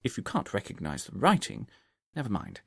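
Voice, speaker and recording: Storybook detective, voiced by a male reader: calm gentleman, methodical, deeper register, dry studio sound. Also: creaky, husky, no room sound, full character voice. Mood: brisk, courteous. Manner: measured, precise, explanatory.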